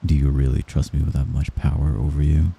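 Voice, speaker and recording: Man acting very deep, murmuring doting mafia heir. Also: little pitch movement, gravelly, husky, heavily acted. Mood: earnest, cautious. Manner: soft-spoken, halting, apologetic while giving orders. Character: young mafia heir, gentle, hesitant, doting, possessive friend. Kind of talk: intimate ASMR roleplay.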